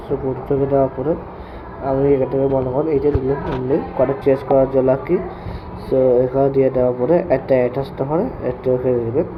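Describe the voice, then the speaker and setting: Man on a noisy, lo-fi mic. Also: mid-range voice. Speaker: tech tutorial YouTuber. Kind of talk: casual spoken screen-recording tutorial. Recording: dull lo-fi mic with clear background noise.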